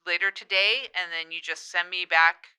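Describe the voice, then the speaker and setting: A woman acting out an eager, oblivious chatterbox in an audio comedy. The voice is very bright and forceful, very clean and slightly low, and it rambles on without taking a hint. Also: fairly even intonation, normal speed, clear, resonant tone, poor audio. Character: oblivious, over-chatty woman who will not end conversation. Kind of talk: improvised comedy dialogue.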